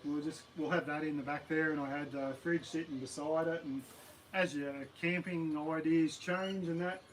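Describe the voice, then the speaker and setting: A man with an Australian accent and a slightly croaky voice, chatting casually and rambling on a live stream from his backyard. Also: fairly high pitch, normal speed, background noise. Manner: rambling, relaxed, many ums and uhs. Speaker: camping channel host. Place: backyard outdoors.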